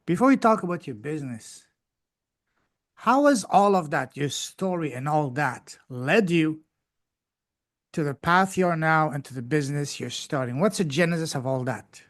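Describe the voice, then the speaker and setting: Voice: medium pitch, lively intonation, normal speed, no room sound. Appreciative podcast host, dry sound. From Canada. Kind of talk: casual interview.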